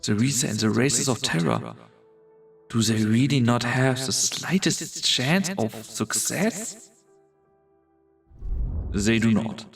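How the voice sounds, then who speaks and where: A man playing a brooding, world-weary strategist in a fantasy game fan dub, delivering solemn, theatrical monologues with a gravelly voice. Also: mid-range voice, animated, slow pace, long pauses, far from the mic. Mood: bitter, resigned. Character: brooding, world-weary male strategist called Doctor, torn and grandiose. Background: background music.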